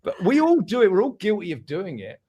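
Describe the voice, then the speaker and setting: Gravelly older man with a London accent. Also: high voice, brisk, highs cut off. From Essex, England. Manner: chatty, laughs often, animated impressions. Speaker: musician guest. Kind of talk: casual interview chat.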